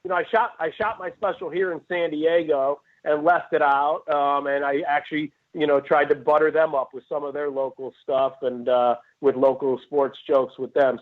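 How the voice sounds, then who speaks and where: A fairly high-voiced man in his forties, from Cleveland, chatting as a stand-up comedian guest. He tells rambling, animated stories full of asides. The sound is thin and tinny, like a phone line. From Cleveland, Ohio. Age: 40s. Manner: rambling, animated storyteller who jumps between asides. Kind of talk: casual interview chat. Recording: tinny phone-like call audio.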